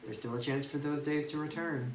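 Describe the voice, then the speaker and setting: A man's voice: a little high, steady tone, a little fast, natural voice. A man reading as a cheerful ramen shop owner. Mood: welcoming. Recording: roomy distant phone recording with music.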